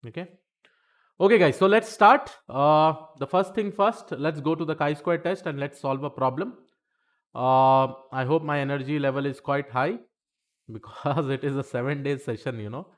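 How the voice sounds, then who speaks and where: A man's voice: on the high side, normal speed. Guy teaching stats on a livestream, chatty, keeps saying okay, answers the chat, desk mic. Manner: chatty, repeats okay, talks to the chat between points. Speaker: instructor teaching statistics. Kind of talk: live-streamed lesson.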